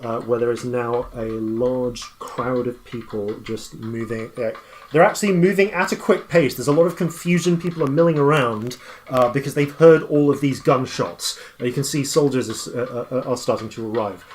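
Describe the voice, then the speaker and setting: A man running a tabletop roleplaying game, improvising his narration in a halting way as game master. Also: medium pitch, a little hiss or hum.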